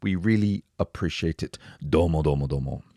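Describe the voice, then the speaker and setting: A man's voice: very deep voice, normal speed, dark tone, dry recording, studio quality. A man hosting a language-learning podcast, upbeat and chatty, laughing often. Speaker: podcast host. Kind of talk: casual podcast chat.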